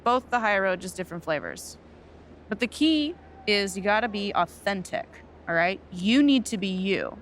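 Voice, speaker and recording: A woman's voice: medium pitch, expressive, normal speed, lightly acted. Brief: no-nonsense female agent, played by an actress, clipped and impatient, natural game cutscene delivery, faint noise behind. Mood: coaching. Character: brisk, no-nonsense female sports agent, bossy and sardonic.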